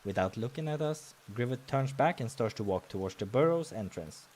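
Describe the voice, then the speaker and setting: A man's voice: mid-range voice, animated, brisk, darkish tone. A man reading a visual novel's story aloud as narrator, with offhand asides of his own. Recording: music behind the voice.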